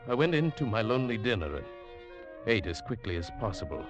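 An actor playing an uneasy traveller who narrates an eerie tale in a dramatic 1940s radio drama style. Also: male voice, on the low side, big pitch swings, brisk, dull audio. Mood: tense, fearful. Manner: dramatic, hushed swelling to agitated. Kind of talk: 1940s radio drama acting, first-person scripted narration. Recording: old radio broadcast with music.

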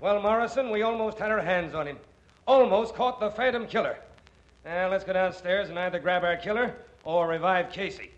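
A muffled old radio recording of a crime melodrama. An actor plays a tense police chief with a high voice and hammy, theatrical delivery. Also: male voice, moderate pace, natural voice. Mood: urgent.

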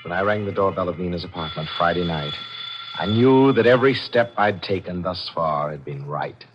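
An actor playing a cold, calculating, gloating killer in a 1940s radio mystery, with a theatrical, deliberate, menacing delivery. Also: male voice, deep voice, natural voice. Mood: confident narrating. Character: cold, calculating, gloating male murderer and schemer. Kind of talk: 1940s radio mystery acting, with first-person narration. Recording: muffled old radio broadcast with music.

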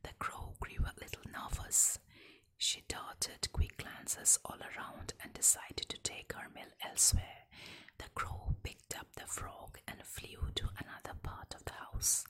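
A woman softly reading folk tales and fables aloud in a gentle whisper. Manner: soft, slow, gentle whispering. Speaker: storyteller. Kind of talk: whispered ASMR story reading.

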